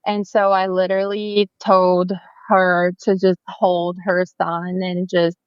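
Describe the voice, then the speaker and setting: Brazilian-accented woman, interview guest; warm, chatty, unhurried, dull dry recording. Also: lowish voice, completely flat delivery, clear, resonant tone, no room sound. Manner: friendly, chatty, many fillers and restarts. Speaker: family photographer, guest. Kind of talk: casual interview chat.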